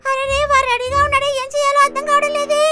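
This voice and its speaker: A small, fretful cartoon animal. The voice is shrill and squeaky, pitched extremely high, and always flustered. Loud music plays behind it. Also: monotone, very fast, very echoey, recorded from a distance. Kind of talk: cartoon dubbing in Telugu.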